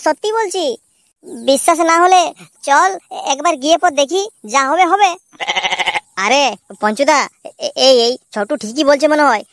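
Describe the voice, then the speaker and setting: A performer voicing an excitable, crude petty crook in a slapstick cartoon, exclaiming and repeating words. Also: high-pitched, no echo. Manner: exclamatory, repetitive, swearing, bantering. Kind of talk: comic cartoon dubbing in colloquial dialect.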